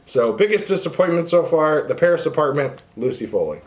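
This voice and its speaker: A man's voice: a little high, lively intonation, fairly quick. A man hosting a casual book vlog, talking off the cuff to viewers in an informal, opinionated way peppered with filler words. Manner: informal, opinionated, frequent "like" and "honestly". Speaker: book reviewer, video host.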